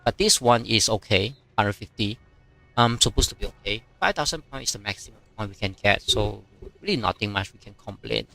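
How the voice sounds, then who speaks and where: A man whose first language is Chinese, streaming a strategy game and chatting casually, with many fillers and pauses as he reads viewer comments. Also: on the low side, animated, creaky, sharp tone, rough recording. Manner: halting, many fillers, reads chat aloud.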